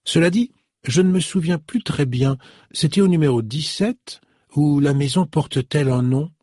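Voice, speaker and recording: A man's voice: a little high, dark tone, breathy edge, no room sound, clean studio sound. A man voicing a polite, composed middle-aged woman in an audiobook, in a slightly raised voice. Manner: courteous, measured.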